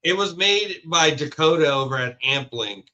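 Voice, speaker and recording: Laid-back Hawaii-raised man, bright voice. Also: on the high side, expressive, normal speed. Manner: laid-back, chatty, says dude and man. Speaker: podcast guest. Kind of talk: casual chat.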